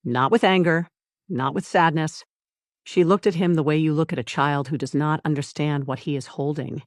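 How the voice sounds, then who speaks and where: A narrator reading a scripted dramatic story in deliberate short phrases with dramatic pauses. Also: medium pitch, steady tone, no room sound, clean studio sound. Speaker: audiobook narrator.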